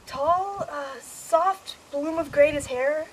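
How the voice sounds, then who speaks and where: Pleading Greek queen for a puppet play, played by a young woman: high voice, recited lines, noisy recording. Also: slow. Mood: explaining. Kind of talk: amateur scripted puppet-show acting.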